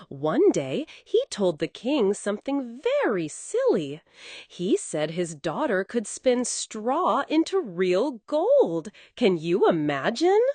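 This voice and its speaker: A woman's voice: medium pitch, a little mellow, no echo. A woman narrating a scripted bedtime story for young children, coddling the listener with endearments.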